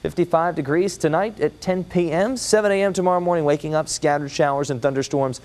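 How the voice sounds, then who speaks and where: A man's voice: fairly high pitch, a little fast, darkish tone. An American man delivering an ad-libbed weather forecast on a broadcast newscast.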